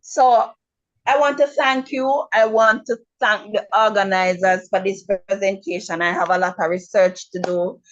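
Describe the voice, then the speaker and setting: A middle-aged Jamaican woman on a video call, speaking frankly with repeated words and frequent pauses. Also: mid-range voice, fairly even intonation, highs cut off. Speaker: webinar attendee. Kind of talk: casual remarks on a video call.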